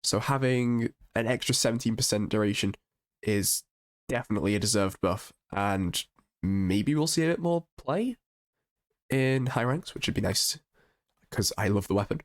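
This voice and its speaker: A man with a croaky voice from being a little sick, casually reviewing game patch notes with upbeat enthusiasm and frequent restarts. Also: fairly low pitch, moderate pace. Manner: chatty and enthusiastic, with ums and restarts. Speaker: gaming channel host.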